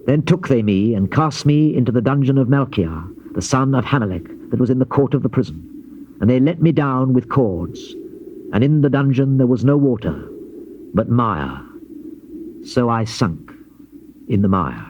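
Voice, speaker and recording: A man giving a solemn, theatrical, measured reading of dramatized scripture in archaic English, on an old cassette tape. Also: mid-range voice, animated, a little mellow, muffled recording, a little hiss or hum. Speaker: scripture narrator playing the prophet Jeremiah. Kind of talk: dramatized King James Bible reading.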